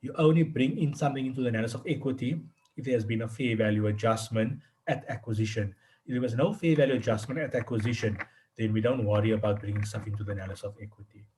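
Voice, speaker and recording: A man's voice: medium pitch, quick pace, a little mellow, low-quality recording. A man working as a tutor, explaining in an informal one-on-one session, often repeating and rephrasing himself as he goes. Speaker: accounting tutor.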